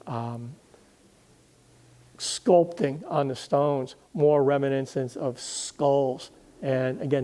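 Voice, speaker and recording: A man speaking as a guest historian, explaining literature and history in deliberate, emphatic phrases with pauses. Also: medium pitch, dark tone.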